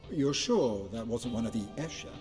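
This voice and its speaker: Man as a cautious mentor, wry, knowing, animated pitch, game cutscene, music behind. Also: normal speed, close to an everyday voice. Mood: wry, intrigued. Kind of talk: video game cutscene acting.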